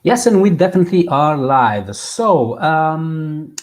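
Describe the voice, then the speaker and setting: A man's voice: fairly high pitch, big pitch swings, slow pace. A man hosting a casual interview, chatty and self-deprecating, often stumbling over his words. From Italy. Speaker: host.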